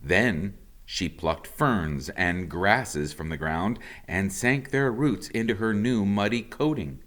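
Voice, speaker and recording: A man reading a children's storybook aloud expressively, with deliberate dramatic emphasis and hushed drops. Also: lowish voice.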